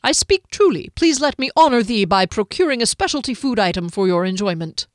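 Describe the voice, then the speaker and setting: A woman's voice: fairly high pitch, expressive, a little fast, no room sound, studio quality, voice pushed up, lightly acted. A woman voicing a smug male halfling trickster who speaks in flowery, archaic courtesies for a fantasy storybook. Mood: courtly, eager. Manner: pompous, archaic, elaborately polite phrasing.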